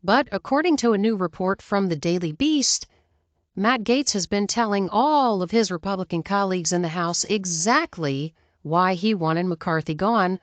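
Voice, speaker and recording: A woman's voice: medium pitch, normal speed, no echo. A woman delivering scripted political news narration as a commentator.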